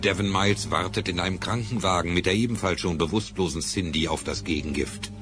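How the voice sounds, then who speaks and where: A man's voice: deep voice, fairly quick, music in the background. A man giving dramatic scripted narration for a German radio play.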